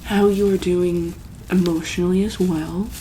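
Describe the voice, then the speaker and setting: A woman speaking softly in an ASMR medical roleplay as a calm, caring doctor, halting often with pauses and ums. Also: lowish voice, slight background noise, low-quality recording. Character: calm, caring female monster-hospital doctor.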